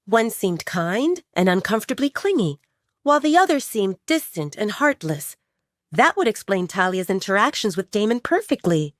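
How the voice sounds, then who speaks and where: Expressive woman narrating with lively pitch. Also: moderate pace, no room sound, clean studio sound. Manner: expressive, dramatic storytelling. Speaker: audiobook narrator. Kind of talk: dramatic scripted narration of a romance story.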